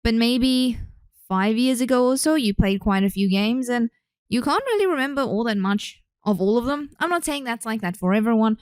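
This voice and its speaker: A woman's voice: on the high side, lively intonation, moderate pace, darkish tone, clean tone, dry recording, studio quality. A young Australian woman hosting a casual solo podcast as a speech therapist, chatty and rambling with many fillers. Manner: chatty, rambling, with frequent fillers and self-corrections.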